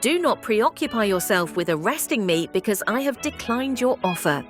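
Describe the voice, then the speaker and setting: A woman's voice: medium pitch, expressive, moderate pace, natural voice. A woman playing a defiant, taunting female bird in an audio play, speaking in a declamatory way. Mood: mocking. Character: defiant, mocking female bird, disillusioned former propagandist. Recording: music behind the voice.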